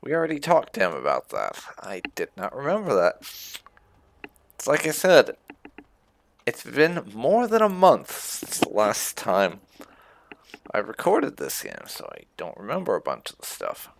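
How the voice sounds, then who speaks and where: A woman's voice: very deep voice, wildly swooping pitch, unhurried, gravelly, no echo. A woman giving casual commentary as she plays a video game, often musing aloud to herself. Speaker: gaming video host. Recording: music behind the voice. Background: game music.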